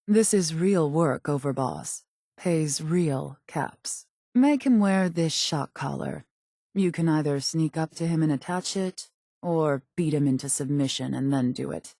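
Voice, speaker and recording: A woman's voice: low voice, mellow, no room sound, clean studio sound, heavily acted. A woman playing a cool, ruthless gang boss in a video game, speaking in a controlled, clipped, politely menacing way. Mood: cool, businesslike. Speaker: video game character giving out jobs. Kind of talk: scripted game dialogue.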